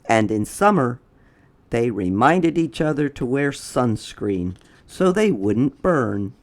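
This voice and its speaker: A storyteller narrating a children's picture book in a deliberate, expressive way. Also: medium pitch.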